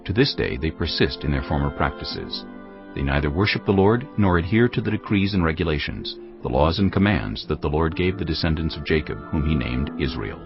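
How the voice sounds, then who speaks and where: A man narrating dramatised scripture from a script in a grave, measured, dramatic manner. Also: extremely low pitch, expressive. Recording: dull audio, music underneath.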